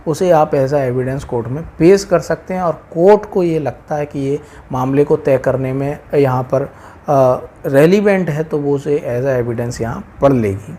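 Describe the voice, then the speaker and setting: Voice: mid-range voice, measured pace, warm tone. A speaker with an Indian accent explaining law informally to viewers, repeating points and mixing in English legal terms.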